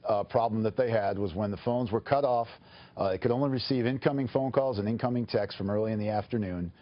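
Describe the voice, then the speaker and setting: A man with a fairly low voice gives formal, measured explanations as a lawyer on a TV news interview. He speaks haltingly, and the sound is slightly dull. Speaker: attorney, interview guest.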